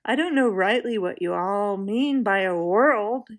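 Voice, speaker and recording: A woman voicing a stout, stubborn non-human marsh creature who speaks bluntly and a bit haltingly, in a storybook reading. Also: medium pitch, expressive. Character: gloomy, stubborn marsh-wiggle, stout and earnest.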